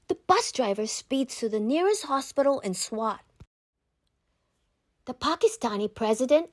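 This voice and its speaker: A woman reads a children's book aloud in a fairly high voice. Her intonation moves up and down a lot, and she phrases each line deliberately and expressively, as a scripted narrator. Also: dry recording, studio quality.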